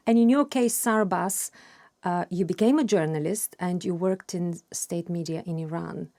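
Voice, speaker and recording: A woman's voice: fairly low pitch, darkish tone. A woman hosting a broadcast interview, asking questions conversationally with some fillers. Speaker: interview host.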